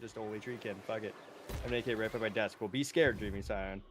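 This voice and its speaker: A man's voice: lowish voice, fairly even intonation, quick pace, a bit bright. Rambling man chatting over faint noise. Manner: rambling, lots of "like" and "you know", laughs often. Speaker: friend on a game stream. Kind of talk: casual gaming chat.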